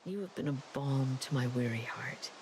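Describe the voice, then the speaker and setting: Weary grieving game heroine, very low pitch, gentle, earnest, clear background noise. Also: female voice. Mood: solemn, weary. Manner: earnest, measured, formal phrasing. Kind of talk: video game voice acting.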